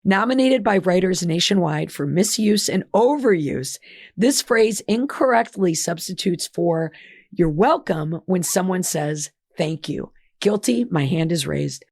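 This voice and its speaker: A woman's voice: lowish voice, lively intonation, a little slow, vocal fry, darkish tone, no echo, clean studio sound. A woman hosting a solo podcast while she has lost her voice, reading out a list of words with chatty asides. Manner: chatty, reads list entries aloud with asides. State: hoarse, losing her voice. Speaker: podcast host.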